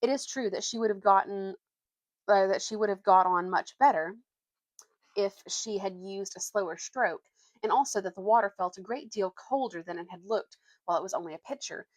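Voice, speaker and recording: A woman reading a children's adventure book aloud as an expressive storyteller. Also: medium pitch, moderate pace, dry recording, poor audio.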